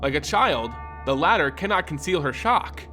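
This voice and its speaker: Male lore host and storyteller, fairly high-pitched and very animated, theatrical scripted fantasy read over music. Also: normal speed. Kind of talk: dramatic scripted fantasy narration and commentary.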